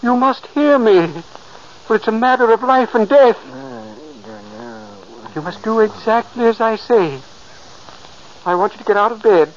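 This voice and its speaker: An actor playing the earnest spirit of a dead brother, theatrical and deliberate, in 1940s radio style. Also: male voice, sits high. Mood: urgent, commanding. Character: ghost of an old brother, earnest, urgent, solemn. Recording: muffled, noisy old radio recording.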